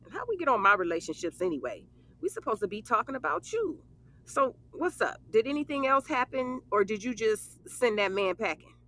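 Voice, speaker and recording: An actress playing a brash, bawdy but loyal young best friend, blunt and teasing, in an audio drama. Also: female voice, fairly high pitch, big pitch swings, brisk, pushed and bright, heavily acted. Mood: caring, frank. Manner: sassy, blunt, foul-mouthed, teasing. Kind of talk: casual conversational audio drama.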